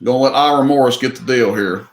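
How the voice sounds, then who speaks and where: A man's voice: mid-range voice, quick pace, roomy sound. A middle-aged man with a Southern accent from Tennessee, hosting a live online auction in a folksy, joking way. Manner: folksy, joking, drawling, says y'all. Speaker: livestream host running an auction. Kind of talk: casual livestream chat.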